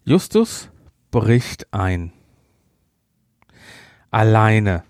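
A man's voice: fairly low pitch, very animated, very slow, darkish tone, no echo, clean studio sound. A man co-hosting a podcast, chatting casually in a review, hesitant with many fillers and restarts. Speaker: podcast co-host.

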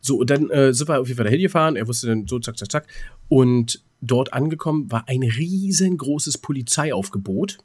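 A man's voice: medium pitch, animated, moderate pace, darkish tone, slightly rough, no echo. A man chatting casually as a podcast co-host, telling an anecdote in a rambling way and acting out remembered dialogue.

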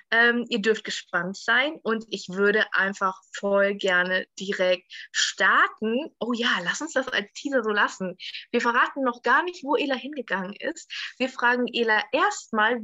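A woman's voice: a little high, pushed and bright, dry recording, highs cut off. A woman hosting a podcast, asking curious, upbeat questions in a casual style. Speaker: podcast host, interviewer.

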